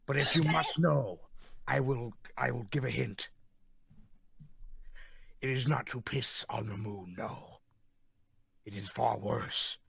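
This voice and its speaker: A man voicing a pompous, scheming mad scientist villain as a ghost for a cartoon comic dub, with grandiose, deliberate, menacing delivery. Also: mid-range voice, creaky, a bit bright, slightly rough, no echo, pitched above the performer's own voice, heavily acted. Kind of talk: improvised in-character answer for a cartoon comic dub.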